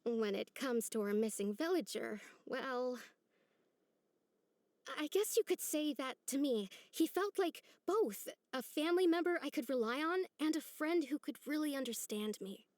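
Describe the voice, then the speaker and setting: A woman playing a gentle, lonely young village woman in a video game, speaking in an earnest, soft, hesitant way. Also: high voice, expressive, measured pace, no room sound, clean studio sound. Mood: fond, wistful.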